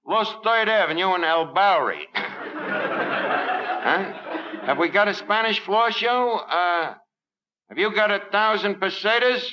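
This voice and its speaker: A brash, wisecracking tavern manager with a high, lively, bright voice. He mangles his grammar as he talks fast in 1940s radio comedy style. The sound is dull, like an old radio broadcast. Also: slight background noise, exaggerated voice. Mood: scheming.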